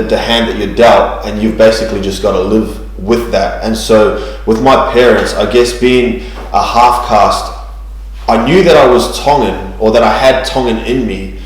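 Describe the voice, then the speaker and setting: A man tells his story slowly, full of ums and restarts. His voice sits a little low. It rings through a very echoey room. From New Zealand. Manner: hesitant, many ums and restarts. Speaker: guest sharing testimony. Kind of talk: church testimony interview.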